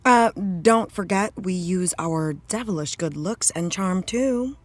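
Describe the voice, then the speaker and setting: A woman's voice: mid-range voice, measured pace, dry recording. A woman trading playful banter as a contestant in a trivia game.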